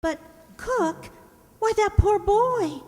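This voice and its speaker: A woman's voice: very high voice, animated, takes it slowly, dark tone, heavily acted. A woman playing a kind, anxious young lady of a rich house in a radio play. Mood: concerned. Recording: echoey hall with long reverb.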